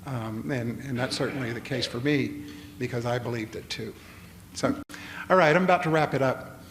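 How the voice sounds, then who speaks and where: An older man giving a public lecture, talking in a halting way with many fillers and restarts. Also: medium pitch. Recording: long hall reverb, faint noise.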